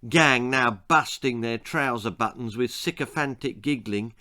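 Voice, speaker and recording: A man's voice: medium pitch, unhurried, bright, forceful tone. British man narrating a darkly comic children's story. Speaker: author reading his own story.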